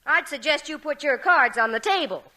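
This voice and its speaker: A woman's voice: high-pitched, lively intonation, normal speed, pushed and bright. A woman acting a prim, curious society magazine interviewer in old radio comedy, refined and emphatic. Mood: skeptical. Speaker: actress.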